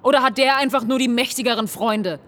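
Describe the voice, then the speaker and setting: An actress playing a combative, outspoken young woman teacher in a naturalistic radio drama, with a slightly husky voice. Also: a little high, brisk, fairly bright tone, close to an everyday voice. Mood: indignant, defiant. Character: defiant young woman teacher, embittered by right-wing hostility.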